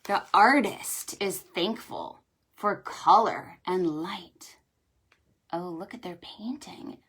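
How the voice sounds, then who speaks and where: A woman leading a children's storytime, speaking in an animated sing-song way with exclamations and questions for young listeners. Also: lowish voice, takes it slowly, breathy edge. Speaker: children's librarian hosting storytime. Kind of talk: children's storytime with read-aloud and pretend play.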